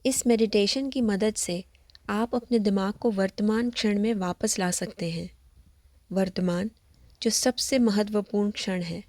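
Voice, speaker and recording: A woman leading a scripted guided meditation, giving gentle, measured instructions. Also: medium pitch, fairly even intonation, no echo. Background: background music.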